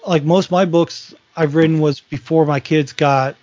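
A man's voice: fairly high pitch, steady tone, normal speed, a little mellow, no echo, lo-fi sound. A man in his forties co-hosting a podcast, chatting casually and rambling with frequent restarts. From Virginia. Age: 40s. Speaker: podcast co-host. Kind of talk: casual chat.

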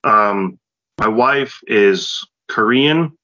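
Low bright-voiced man, hesitant. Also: extremely slow, dry recording, muffled recording. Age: late 30s. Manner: hesitant, many ums and restarts. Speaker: podcast co-host. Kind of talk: casual chat.

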